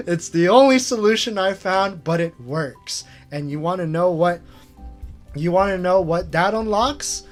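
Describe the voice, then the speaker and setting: A man's voice: high-pitched, lively intonation, takes it slowly, pauses often, soft background music. A man hosting a casual screen-share retrospective, rambling with lots of fillers and chuckling at himself. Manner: rambling, filler-heavy, self-amused. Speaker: channel host.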